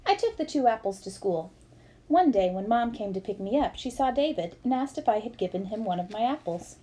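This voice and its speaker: Mellow-voiced woman reading a book aloud. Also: on the high side, lively intonation, a little fast, rough recording. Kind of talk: scripted audiobook-style narration of a memoir.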